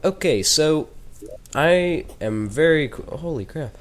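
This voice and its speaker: A man's voice: fairly high pitch, animated, slow pace. A teenage boy with a head cold, chatting casually and rambling with joking asides while he plays and commentates a video game. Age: teens. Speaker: gaming YouTuber.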